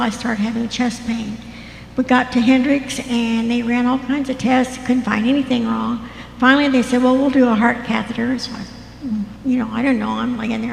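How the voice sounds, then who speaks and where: An older woman with a soft voice, gently telling her own story as a guest at a church. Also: fairly high pitch, vocal fry. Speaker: guest sharing testimony. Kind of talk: personal testimony. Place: church hall. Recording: echoey hall with faint noise.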